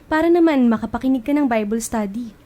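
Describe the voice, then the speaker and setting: A woman playing a gentle, devout friend offering comfort in a radio drama. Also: a little high, quick pace, soft background music, lightly acted. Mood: encouraging.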